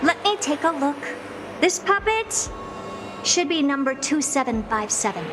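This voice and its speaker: An actress playing a haughty, brilliant scientist in a video game, with a smug, theatrical, playfully condescending manner. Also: female voice, sits high, sing-song, unhurried, over music. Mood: puzzled. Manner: smug, theatrical, self-assured.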